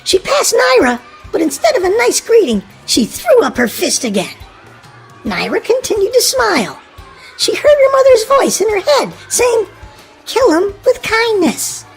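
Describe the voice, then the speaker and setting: Very high, animated woman reading aloud. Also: moderate pace, faint music behind. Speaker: storybook narrator. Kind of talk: children's story read aloud.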